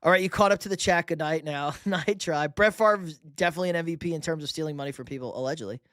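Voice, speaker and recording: A man's voice: a little high, quick pace, fairly bright tone, no echo, studio quality. A young man hosting a live sports stream, reading chat questions and bantering loosely with casual profanity. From New York. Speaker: livestream host reading chat.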